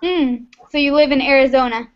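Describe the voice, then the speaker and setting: A woman's voice: sits high, brisk. A woman from Texas teaching an online English class, friendly and patient, encouraging her students over a video call. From Texas, United States. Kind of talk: online class small talk. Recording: muffled video-call audio.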